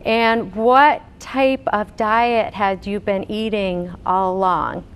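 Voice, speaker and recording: A woman's voice: medium pitch, steady tone, takes it slowly, clean tone. A woman dietitian hosting an interview, asking questions in a friendly way with many fillers. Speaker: dietitian and host.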